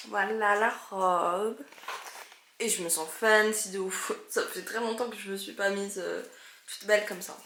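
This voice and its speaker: A woman's voice: fairly low pitch, bright, forceful tone. A young woman from Belgium chatting casually to camera in a travel vlog, with chatty, self-mocking asides. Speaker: vlogger.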